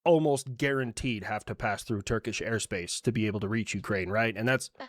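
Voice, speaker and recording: A man's voice: on the low side, a little fast, no room sound, lightly acted. A man playing a blunt, weary war leader in a comedic political roleplay, with dry and sarcastic delivery.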